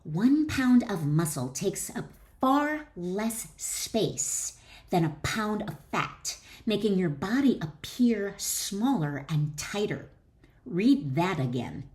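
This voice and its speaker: A woman speaking as an author and wellness teacher, addressing the listener directly in emphatic, deliberate phrases as she instructs and reads from her book. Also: mid-range voice, sing-song, a little mellow. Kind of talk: instructional talk mixed with expressive reading aloud from own book.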